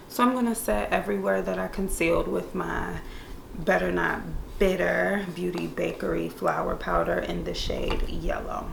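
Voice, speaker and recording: A young woman doing a makeup tutorial in casual chat, laid-back and monotone by her own account, with playful slangy asides to viewers. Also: lowish voice, gravelly, roomy sound, noisy background. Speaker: beauty vlogger. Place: room indoors. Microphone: camera mic.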